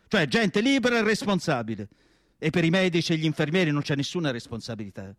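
An actor playing an anguished, indignant and nostalgic asylum psychiatrist in a declamatory radio theatre monologue. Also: male voice, on the high side, very animated, fast, no echo, studio quality. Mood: grave, ominous. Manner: declamatory, rhetorical questions, emphatic lists. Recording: music playing behind the voice. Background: music.

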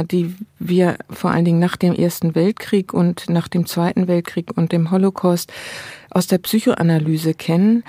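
Bright-voiced woman explaining as an academic. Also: lowish voice, no echo, studio quality. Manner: scholarly, explanatory, long qualified sentences. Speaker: interviewed cultural studies scholar. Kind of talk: academic interview, spontaneous explanation.

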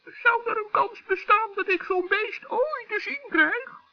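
A man's voice: very high-pitched, unhurried, voice pushed up. A man voicing a small, naive forest gnome in a children's radio play, hesitant and plaintive. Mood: flustered, curious. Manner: hesitant, plaintive, easily tempted. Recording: dull old radio recording.

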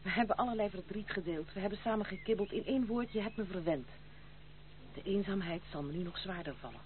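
A woman's voice: medium pitch, quick pace, close to an everyday voice. An actress playing a proud, wilful young woman in a period radio drama, firm and guarded in manner. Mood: wistful, startled. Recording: muffled, hissy old radio play.

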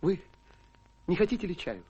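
Very raspy, bashful gentleman. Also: sits high, big pitch swings, normal speed, heavily acted. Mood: flustered, bashful. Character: bashful, blustering gentleman back from India. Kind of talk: theatrical radio drama acting.

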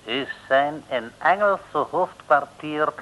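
Slow, mock-humble henchman in pidgin Dutch. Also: fairly high pitch. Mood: mock-courteous. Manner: broken pidgin Dutch, ceremonious flattery. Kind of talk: 1960s comic radio serial acting. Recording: dull old radio recording.

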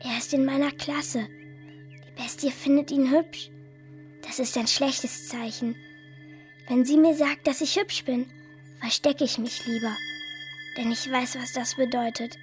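A woman's voice: high voice, fairly even intonation, a little slow, pauses often, soft background music. A female performer playing a secretive little girl who gives ominous, confiding warnings in a radio drama.

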